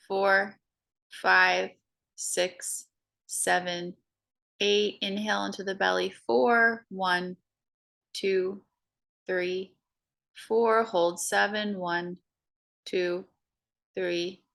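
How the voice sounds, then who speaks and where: A woman guiding a breathing meditation, counting slowly in a soft, soothing way. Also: fairly low pitch, creaky. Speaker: meditation guide.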